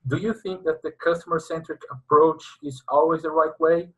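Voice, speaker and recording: A husky-voiced man hosts an interview, reading out long introductions and questions in a formal way. The recording sounds dull and slightly muffled. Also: on the high side, fairly even intonation, normal speed, fairly bright tone. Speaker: interviewer, sales consultant. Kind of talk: interview hosting.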